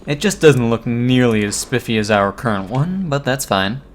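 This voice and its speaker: Hoarse man narrating a game run. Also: medium pitch, moderate pace, slight background noise. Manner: relaxed, chatty, talks to viewers. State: hoarse, losing his voice. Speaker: gaming commentator. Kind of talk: let's play commentary.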